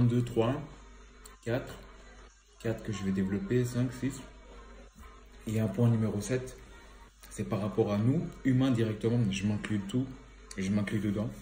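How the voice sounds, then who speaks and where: A man's voice: fairly low pitch, dark tone, roomy sound. A man with a cold and a slightly stuffy voice, giving a casual, rambling commentary full of hesitations and fillers. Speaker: environmental vlogger.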